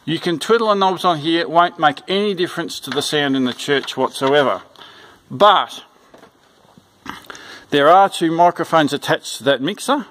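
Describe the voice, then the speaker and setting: A man giving an informal, unscripted instructional walkthrough in a plain-spoken, halting way, repeating his instructions. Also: a little high, fairly bright tone. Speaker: church sound system instructor. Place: indoors in a church.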